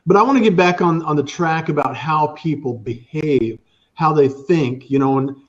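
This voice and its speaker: A man's voice: fairly high pitch, normal speed. Rambling male talk-show host, slightly muffled. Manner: rambling, repeats words, jokes at himself. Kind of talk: casual business talk show chat.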